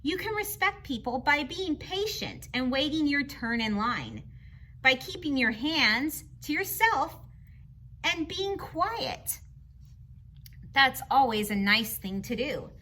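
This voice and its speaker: A woman's voice: high voice, big pitch swings, slow pace, sharp tone. A woman reading aloud to children as a teacher, explaining in simple, instructive phrases and putting questions to her young listeners.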